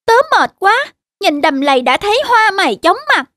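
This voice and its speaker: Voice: very high voice, sing-song, normal speed, bright, forceful tone, dry recording, studio quality, heavily acted. An actor voicing a lazy, whiny young boy mouse who frets and exclaims in a children's cartoon. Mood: feigned tiredness.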